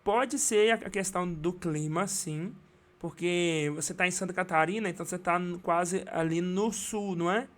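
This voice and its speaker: A man with a Brazilian Portuguese accent teaching singing in a live online class, talking casually with many restarts and quick checks. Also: high voice. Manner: casual and rambling, many restarts and tag questions.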